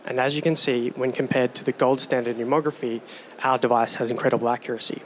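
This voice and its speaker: A man giving a rehearsed startup pitch on stage with a steady, polished delivery. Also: quick pace, a little hiss or hum. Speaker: startup presenter.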